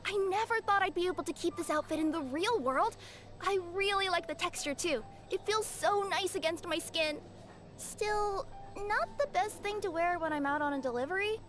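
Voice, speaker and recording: Very high, bubbly cat girl. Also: normal speed, a bit bright. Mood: cheerful. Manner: bubbly, cute, chatty. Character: perky, friendly young female cat-creature courier. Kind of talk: scripted anime-style video game dialogue. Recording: game music behind the voice.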